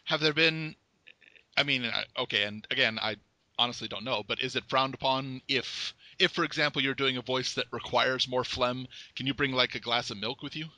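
A man's voice: mid-range voice, steady tone, normal speed, sharp tone, no echo. A male podcast host asking curious questions and giving many short acknowledgements. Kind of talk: interview questions.

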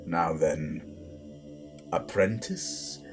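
A low, very slow male voice acted by a man of a menacing Sith master in an audio roleplay. He instructs with deliberate, controlled authority, often sinking into a breathy near-whisper. Music plays clearly behind him. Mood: calm, hushed, controlling. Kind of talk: slow guided-meditation roleplay, breathy dramatic monologue. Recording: voice over loud background music.

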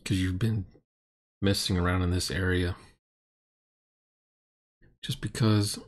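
A man's voice: low voice, little pitch movement, slow pace. A middle-aged man giving unscripted, exasperated and sarcastic commentary as the host of a reaction video. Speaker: reaction video host critiquing a role-playing session.

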